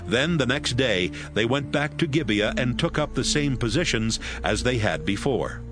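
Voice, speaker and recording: A man narrating scripture as a measured, scripted storyteller in an audio Bible. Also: medium pitch, expressive, music in the background.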